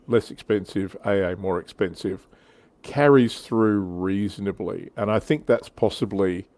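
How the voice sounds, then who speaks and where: A man's voice: deep voice, darkish tone, dry recording. A man hosting a casual hobby livestream panel, thinking aloud in a hesitant, self-deprecating way. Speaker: panel show host.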